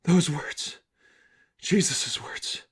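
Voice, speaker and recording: Storybook heroine, man performing young masked vigilante woman, devout, anguished, halting and intense. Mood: shaken, urgent. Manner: screaming demands, then halting, shaken short phrases.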